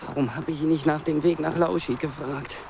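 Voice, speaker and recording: An exhausted wanderer lost in bad weather. His voice is fairly high for a man and heavily creaky. He broods in halting radio-play monologue over clearly audible background noise. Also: steady tone. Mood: brooding. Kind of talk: radio drama interior monologue.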